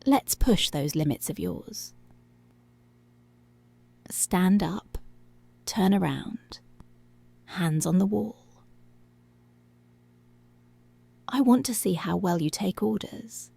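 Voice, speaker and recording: Woman playing a slow, whispery, commanding policewoman. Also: mid-range voice, dark tone, no room sound, full character voice. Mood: soft, controlling. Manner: slow, deliberate, breathy commands. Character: dominant, commanding policewoman, seductive and controlling. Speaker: ASMR voice actor. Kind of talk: intimate ASMR roleplay, partly whispered.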